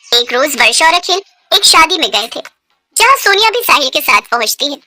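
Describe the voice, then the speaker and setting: High, bright woman storyteller. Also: big pitch swings, normal speed, no room sound. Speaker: narrator. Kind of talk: scripted story narration.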